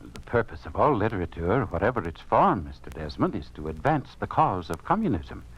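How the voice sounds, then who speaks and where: An actor playing a smug, cold communist censor in a 1950s radio drama, pompous and formal with polite menace. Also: male voice, lowish voice, very animated, a little fast, slightly rough. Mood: stern, doctrinaire. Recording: muffled old radio recording.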